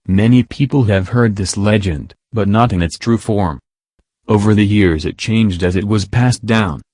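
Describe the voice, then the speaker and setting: A computerized male voice narrating a scripted legend in a measured, formal storytelling way. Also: on the low side, a little mellow, no echo. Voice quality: computerized synthetic voice. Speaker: host and narrator.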